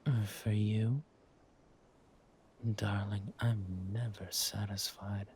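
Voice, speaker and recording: A man playing a flirtatious, smug villain king in a roleplay audio drama, talking to his spouse in a husky, teasing, languid way. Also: fairly low pitch, level tone, a little mellow, slight background noise. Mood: flirtatious, relaxed. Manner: teasing, languid, amused, drawn-out phrasing with murmured asides.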